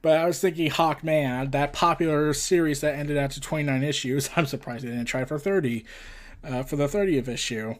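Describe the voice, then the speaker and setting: A man hosting a casual entertainment news roundup, rambling and halting, often tripping over his words and losing his thread. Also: a little high. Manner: scattered, halting, often tongue-tied and losing track. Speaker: host of a comics and entertainment news show.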